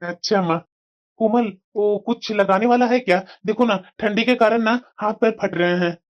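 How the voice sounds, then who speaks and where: A woman's voice: mid-range voice, moderate pace, dull audio, natural voice. A woman voicing a mild, obedient, newly married young son who talks politely in a family cartoon. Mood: easygoing. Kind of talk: Hindi family cartoon voice acting.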